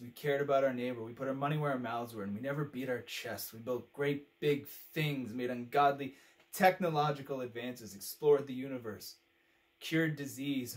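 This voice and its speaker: A man acts out a brutally frank, fed-up male commentator in a dramatic monologue. His mid-pitched voice is biting and emphatic, lecturing in pointed rhetorical phrases. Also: lightly acted.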